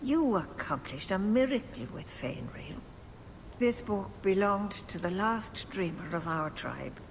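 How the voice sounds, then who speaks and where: A woman voicing a solemn, dignified older elven clan elder in a video game, measured and formal. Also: medium pitch, sing-song, a little hiss or hum. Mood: grateful, formal. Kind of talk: video game character dialogue.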